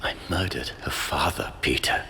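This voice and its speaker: An actor voicing a weary, remorseful middle-aged scientist in a video game, grave and measured in a deep, gravelly voice. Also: male voice, bright, forceful tone, long reverb tail, slight background noise, full character voice.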